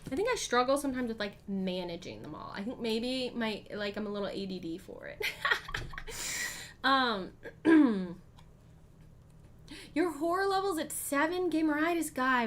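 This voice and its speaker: A woman streaming a solo board game, chatting casually and thinking aloud, with frequent ums and throat clearing. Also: mid-range voice, fairly bright tone, slight background noise. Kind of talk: casual live-stream play-through.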